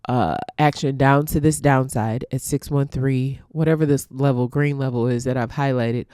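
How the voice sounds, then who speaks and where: A woman's voice: rumbling low, dark tone, no echo, clean studio sound. A congested woman teaching forex trading, walking through a chart casually and thinking aloud with ums and restarts.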